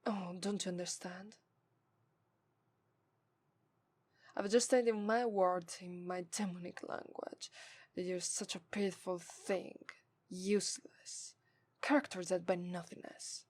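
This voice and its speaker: A woman voicing a haughty, scornful, seductive demon in an ASMR roleplay, with an Italian accent and formal, deliberate delivery that dips into breathy whispers. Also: lowish voice, fairly even intonation, a little mellow, no echo. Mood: contemptuous.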